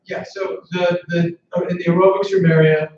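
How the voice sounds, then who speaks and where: A man explaining building plans at a town hall meeting, halting with fillers and restarts. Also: high voice, fairly even intonation. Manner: hesitant, many fillers and restarts. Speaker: project designer. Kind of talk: technical answers at a meeting. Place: large indoor meeting hall. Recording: echoey hall, distant, dull, background hum.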